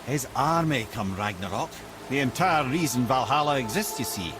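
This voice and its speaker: An actor playing a chatty, witty old advisor in a video game, a wry storyteller. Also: male voice, mid-range voice, lively intonation, moderate pace, over music, close to an everyday voice. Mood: explanatory. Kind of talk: video game dramatic acting.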